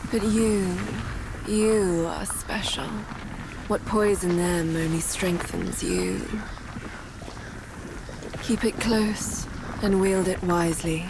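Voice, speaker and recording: A calm, lofty immortal spirit with a soft, measured voice. She speaks slowly, at an even middle pitch. Ambient game sound hums clearly beneath her. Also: background noise. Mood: serene. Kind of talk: video game cutscene acting. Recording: game audio over ambience.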